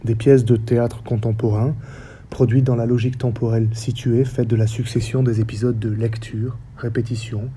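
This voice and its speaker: A man in his forties from the French Basque Country, with a faint Basque accent, giving a reflective public talk as a stage director in measured, short phrases. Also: medium pitch, little pitch movement, warm tone, slight background noise. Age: 40s. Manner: measured, list-like delivery with short paused phrases. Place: theatre stage or hall.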